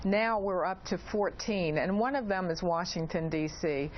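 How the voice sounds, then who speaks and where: A woman speaking as an expert guest in an interview, explaining in a hesitant way with frequent pauses. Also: on the low side. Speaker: expert guest, principal investigator. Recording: dull lo-fi audio, clearly noisy.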